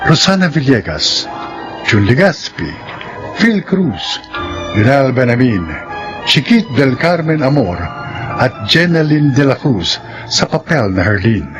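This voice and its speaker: Give me a formal male radio announcer with an expressive voice over soft music. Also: mid-range voice. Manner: formal and measured. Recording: dull lo-fi old radio broadcast.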